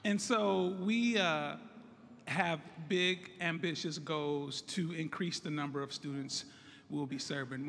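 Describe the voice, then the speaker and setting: A hoarse man from San Francisco, a site director, giving an earnest ceremonial speech that thanks and introduces guests. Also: a little high, fairly bright tone, slight background noise. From San Francisco, United States. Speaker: site director of a youth college program. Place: large hall at a groundbreaking event. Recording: echoey hall with crowd murmur.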